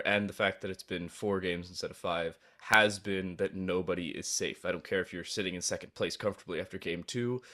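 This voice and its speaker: A man hosting an esports broadcast as caster, energetic and given to sarcastic asides. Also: low-pitched.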